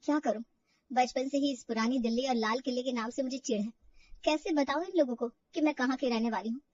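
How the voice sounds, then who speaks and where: Woman voicing a fretful, high-voiced young woman. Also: brisk, a little mellow, distant mic, muffled recording. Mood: anxious brooding. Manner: fretful, defensive. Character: insecure, self-doubting young woman from Old Delhi.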